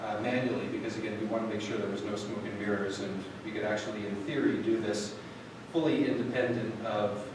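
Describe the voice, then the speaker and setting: A man talks an audience through a live software demo, full of fillers and restarts. He is heard from a distance in a very echoey hall. Steady background noise runs under his voice. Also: on the low side, fairly quick, hardly any pauses. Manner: rambling, lots of fillers and restarts. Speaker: product demo presenter. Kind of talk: live tech demo, casual. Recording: echoey hall from far off, noisy.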